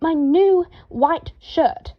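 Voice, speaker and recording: A woman's voice: extremely slow, full character voice. A woman voicing a vain young man who howls and shrieks over his ruined clothes. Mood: wailing. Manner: howling, shrill outbursts. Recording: dull lo-fi home recording.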